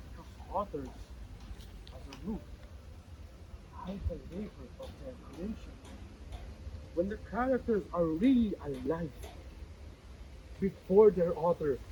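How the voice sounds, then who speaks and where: A man playing an anguished, philosophizing character in a dramatic play reading, declaiming deliberately with dramatic pauses. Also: high-pitched, warm tone, slight background noise, low-quality recording. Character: anguished, philosophizing male character pleading with a gentleman.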